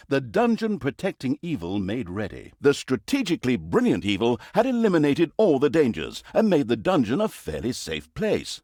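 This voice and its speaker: An actor playing a sardonic, theatrical narrator of a villainous fantasy video game, telling it like a comic storybook with mock drama and wry asides. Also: male voice, fairly high pitch, a little mellow, no echo, studio quality.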